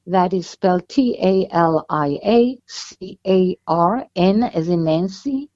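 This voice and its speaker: A woman's voice: on the low side, lively intonation, slow, warm tone, muffled recording. A woman with an Israeli accent, an author guest talking at length in an interview. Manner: chatty, explains at length with restarts.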